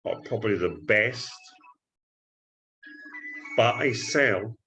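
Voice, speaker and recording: A Scottish man giving a trading tutorial, talking up his strategy in an excited salesman patter and often calling out "ladies and gentlemen.". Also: on the low side, drawn-out words, soft background music. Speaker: forex trading instructor. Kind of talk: screen-share trading tutorial.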